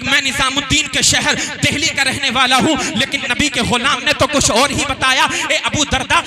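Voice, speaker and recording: A man giving an impassioned, declamatory sermon at a religious gathering, speaking through a stage microphone. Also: extremely high pitch. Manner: loud, declamatory, repeating lines. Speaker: Islamic preacher. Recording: crowd audible behind.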